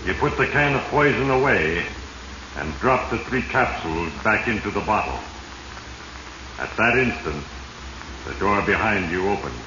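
An actor playing Fate, a sinister male narrator of a 1940s radio drama, ominous and deliberate as he addresses his victim. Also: fairly low pitch, expressive, highs cut off, lightly acted. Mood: grave, ominous. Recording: echoey, noisy 1940s radio recording.

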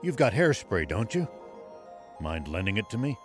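Low-voiced, stern old sheriff. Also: male voice, big pitch swings, faint music behind. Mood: confident, commanding. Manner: measured, deliberate, musing. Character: stern, shrewd old sheriff, a human man. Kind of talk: video game cutscene acting.

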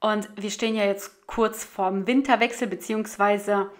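A woman's voice: mid-range voice, fairly even intonation, moderate pace. Chatty woman narrating a casual vlog. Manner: chatty, informal, addresses viewers directly. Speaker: home and cleaning vlogger. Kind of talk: casual vlog narration showing her bookshelves.

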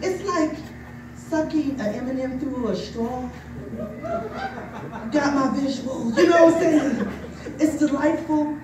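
A woman in her forties from New Jersey doing a bawdy stand-up set, often asking "you know what I mean?". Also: high-pitched. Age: 40s. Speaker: stand-up comic. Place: brewery taproom with live audience. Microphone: filmed from the crowd by a far camera. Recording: echoey room, from far off, crowd noise.

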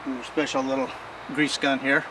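An older man talks through a repair job in a folksy, rambling way, standing out in his backyard. The camera's mic picks him up from a few steps off, and steady outdoor noise sits under his voice. His pitch is ordinary for a man. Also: normal speed, recorded from a distance. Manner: folksy, rambling, plain-spoken. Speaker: homesteading channel host. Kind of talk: casual how-to demonstration. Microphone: camera mic from a distance.

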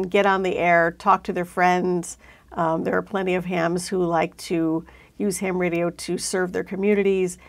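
A woman's voice: fairly low pitch, creaky. A middle-aged woman hosting a conversational interview in a studio on a lapel mic. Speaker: host. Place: indoor studio.

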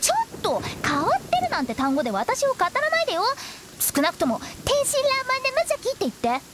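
High-pitched cheeky young prankster. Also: female voice, wildly swooping pitch, a little fast, very noisy, heavily acted. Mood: playfully indignant. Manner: playful, theatrical, teasing. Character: cheeky young woman, playful prankster, theatrical funeral director. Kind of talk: anime game voice acting.

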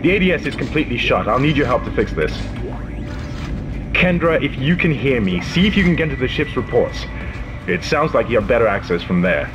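Gruff, commanding male space officer played by an actor. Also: medium pitch, very animated, brisk, lo-fi sound, over music, natural voice. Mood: frustrated, urgent. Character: commanding, embattled male starship security officer. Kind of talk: video game dramatic acting.

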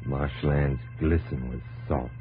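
An actor playing an earnest, driven army captain and explorer in a 1950s radio drama. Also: male voice, extremely low pitch, steady tone. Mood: brooding, reflective. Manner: measured diary narration alternating with urgent dialogue. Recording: muffled, narrow-band 1950s radio recording with music.

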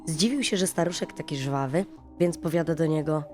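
Lower-pitched woman narrating a bedtime fairy tale. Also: fairly quick, darkish tone. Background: music.

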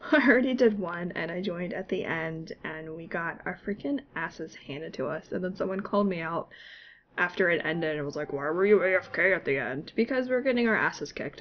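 A woman's voice: fairly low pitch, highs cut off. Congested woman chatting over gameplay. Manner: chatty, laughing, self-deprecating. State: congested with a cold. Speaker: gaming YouTuber. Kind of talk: casual gameplay commentary. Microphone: desktop mic, no webcam.

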